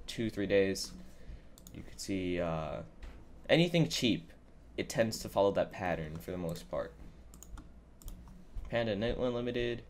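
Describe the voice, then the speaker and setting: A man's voice: lowish voice, expressive, measured pace, pauses often, darkish tone, slight background noise. A man who sounds a bit under the weather, giving a casual, improvised screen-capture tutorial and thinking aloud as he goes. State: sick with a cold. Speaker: YouTuber giving a trading tutorial. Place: indoors at a computer, voice-over.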